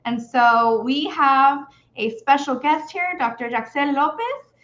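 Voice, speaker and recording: High-voiced woman hosting an interview. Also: lively intonation, measured pace, fairly bright tone, muffled recording. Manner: friendly, laughs often, many fillers. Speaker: immigration attorney and host. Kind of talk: hosted video interview.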